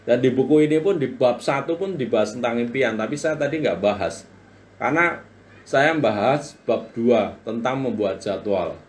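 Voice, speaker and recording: A man in his forties hosting a live-stream book talk, chatting to viewers and reading their comments aloud in a motivational way. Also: mid-range voice. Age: 40s. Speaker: live-stream business coach.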